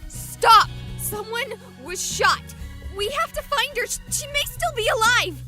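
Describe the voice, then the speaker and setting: Very high-pitched, excitable young woman streamer. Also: measured pace, music in the background. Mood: agitated. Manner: high-strung, dramatic. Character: excitable young woman streamer, easily panicked, exploring haunted hospital. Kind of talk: animated visual novel voice acting.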